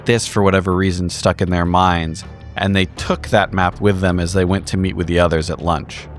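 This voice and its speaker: Low-pitched man narrating over faint music. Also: fairly even intonation, moderate pace. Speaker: player in a tabletop horror roleplaying show. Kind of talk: scripted recap narration.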